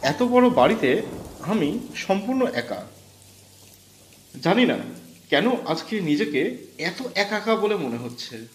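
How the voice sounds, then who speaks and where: A man's voice: high-pitched, sing-song, slow pace, long pauses, slight background noise. A man narrating a scripted first-person horror story in a dramatic storytelling manner. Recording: echoey voice over background music.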